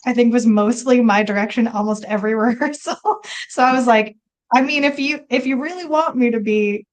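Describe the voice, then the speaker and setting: Chatty high-voiced woman on a video call. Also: rough recording. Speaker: stage actor. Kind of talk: casual chat on a video call.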